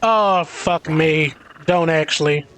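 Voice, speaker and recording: A man's voice: fairly high pitch, slow, a bit bright, slight background noise. A man livestreaming a video game, chatting casually and commenting on it. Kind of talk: casual gaming stream chat. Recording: faint game music behind.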